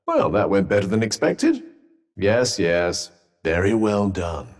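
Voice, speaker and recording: A man's voice: fairly low pitch, big pitch swings, unhurried, in a large hall. A man with a British accent voicing a haughty, sardonic magical cuff companion in a video game, with pompous, droll, formal delivery. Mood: pleasantly condescending.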